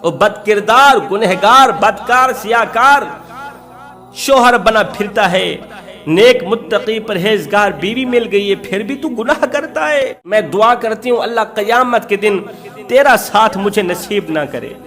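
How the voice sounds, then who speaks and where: A man's voice: sits high, unhurried, pushed and bright. A man voicing a pious, bitter wife in a sermon story, taunting with stacked insults and contempt. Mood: scornful.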